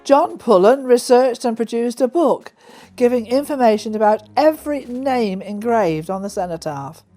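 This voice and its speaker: A woman's voice: a little high, moderate pace, warm tone, soft background music. A woman reading a scripted documentary narration.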